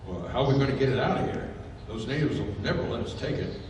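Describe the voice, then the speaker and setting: A man's voice: medium pitch, quick pace, background noise, lightly acted. A man playing a plain-spoken, loyal tough-guy sidekick in a 1930s radio adventure, blunt and folksy. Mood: wary, blunt. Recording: echoey hall, distant microphone.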